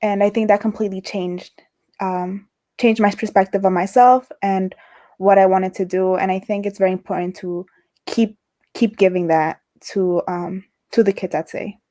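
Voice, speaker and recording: A woman's voice: mid-range voice, steady tone, normal speed, dark tone. A young woman who stutters, repeating and breaking off words as she talks about her own experience in a casual interview. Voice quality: stutters, with repetitions and broken-off words. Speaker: interview guest. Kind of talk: casual conversation over a livestream.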